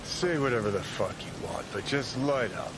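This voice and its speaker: A man's voice: medium pitch, expressive, moderate pace, natural voice. An actor voicing a cynical, sarcastic, foul-mouthed man in a video game, with noise behind the voice. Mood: irritated, scornful. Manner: brash, sardonic.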